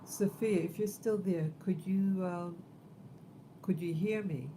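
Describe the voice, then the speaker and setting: A woman in her fifties hosting a casual livestream, talking in a rambling, halting way with many fillers. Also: on the low side, mellow, a little hiss or hum, low-quality recording. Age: 50s. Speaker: livestream host.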